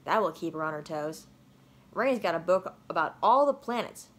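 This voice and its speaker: A woman reading a children's storybook aloud with playful, expressive narration. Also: lowish voice, normal speed. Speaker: storybook reader.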